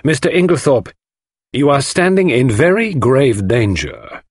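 A man voicing a theatrical, commanding detective, formal and deliberate, in an audiobook. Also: mid-range voice, big pitch swings, slightly rough, no room sound, clean studio sound, voice pushed up, full character voice. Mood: pointed, warning. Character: theatrical Belgian male detective, precise, commanding, dramatic. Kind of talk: audiobook character voice.

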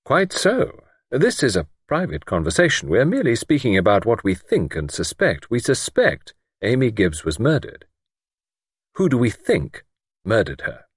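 A wry gentleman sleuth, as a male narrator plays him, with highly animated intonation and a slightly husky edge. He is patient but persistent, probing directly as in a detective storybook. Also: normal speed, dry recording, studio quality. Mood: brisk, confiding. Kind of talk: audiobook character dialogue.

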